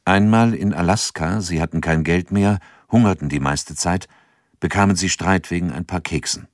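A man narrating a radio drama in a calm, measured, scripted way. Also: low voice, dry recording, studio quality.

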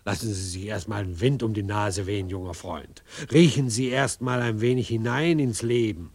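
A man's voice: on the low side, moderate pace, darkish tone. A male actor playing a pompous, patronising cabaret impresario in a German radio drama, with theatrical, condescending delivery.

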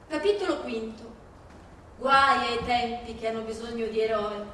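A woman's voice: on the high side, normal speed, bright, forceful tone, a little hiss or hum. A woman giving a theatrical scripted narration as a stage reading set to music. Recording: echoey hall from far off, music behind.

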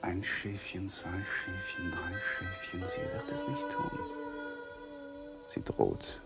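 Ein Schauspieler spielt in einem deutschen Krimihörspiel einen gereizten, innerlich zerrissenen Ehemann, theatralisch zwischen beherrscht und heftig. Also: male voice, sits low, huge pitch swings, slow, loud music behind the voice, exaggerated voice. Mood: brooding. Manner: theatrical, swinging from controlled to vehement. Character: exasperated, emotionally torn husband demanding a divorce. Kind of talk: German radio crime drama acting. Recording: 1980s radio play with clear noise.

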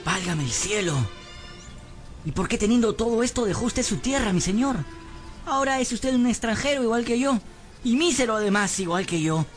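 A man's voice: very high-pitched, expressive, moderate pace, soft background music. An actor in a radio drama playing a humble, hapless young servant, earnest and plaintive as he tells his misfortunes. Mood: earnest, questioning. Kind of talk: radio drama acting and scripted narration.

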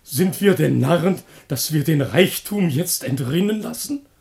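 An actor playing a pompous citizen in a comic Greek chorus, declaiming solemnly in a classic radio play with some noise on the recording. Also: male voice, a little high, expressive, unhurried, vocal fry, darkish tone. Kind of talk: classic German radio play of an ancient comedy.

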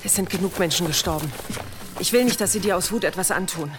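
An actress playing a middle-aged, resolute village mother in a German radio play, brisk and commanding, practical in how she speaks. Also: lowish voice, music in the background, close to an everyday voice. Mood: grave, urgent.

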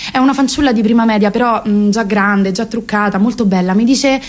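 Roman woman late 20s doing a sarcastic stand-up style theatre monologue, highish voice, very emphatic. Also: fairly quick. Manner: colloquial, sarcastic, emphatic storytelling with rhetorical questions. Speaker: actress performing her own comic monologue.

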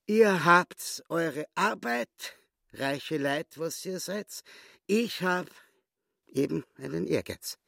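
Radio play: sly, mocking Austrian crook, swooping delivery at a drawn-out pace, theatrical and gloating. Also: male voice, fairly high pitch, expressive, vocal fry, sharp tone, no echo, studio quality, heavily acted. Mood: boastful. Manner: theatrical, gloating, mock-courteous. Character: sly, boastful, mocking small-time swindler and chess player, male.

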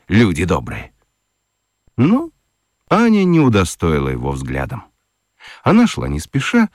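Deep-voiced man, lively storybook narration. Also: dry recording, clean studio sound. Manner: animated, playful storytelling with wide pitch swings. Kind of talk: scripted audiobook narration for children. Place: radio studio.

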